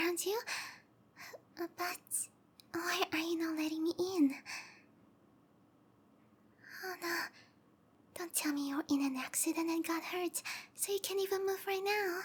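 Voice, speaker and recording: High, breathy, clingy yandere snake girl. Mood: eager, insistent, then concerned. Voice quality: soft, breathy, whispery. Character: sweet, clingy, obsessive young female snake-monster girlfriend. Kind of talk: roleplay ASMR acting.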